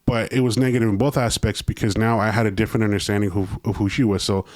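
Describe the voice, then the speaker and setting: A man's voice: fairly low pitch, fairly even intonation, fast, a little mellow, no echo. American man, casual podcaster, close dry sound. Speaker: podcast host.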